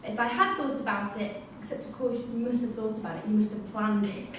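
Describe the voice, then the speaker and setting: A woman's voice: a little high, quick pace, close to an everyday voice. A woman playing a firm, sceptical prison course tutor in a staged play reading. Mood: reading aloud. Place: theatre room at a staged reading. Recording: echoey hall, distant mic, hissy ambience.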